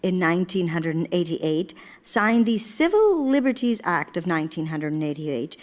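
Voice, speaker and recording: An actress playing a principled, dignified older in live radio theater, speaking in a measured, formal and earnest way. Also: female voice, lowish voice, sing-song, darkish tone. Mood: grave, indignant.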